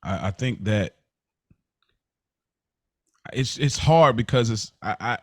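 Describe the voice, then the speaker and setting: Animated emphatic male podcast co-host. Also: medium pitch, slow, no echo, clean studio sound. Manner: animated, emphatic, talks in bursts. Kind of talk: casual podcast chat.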